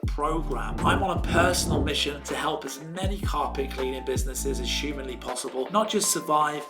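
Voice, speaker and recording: A man's voice: fairly high pitch, fairly quick, over loud music. A man hosting an interview for business owners in an enthusiastic, salesy way, heaping on praise. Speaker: host.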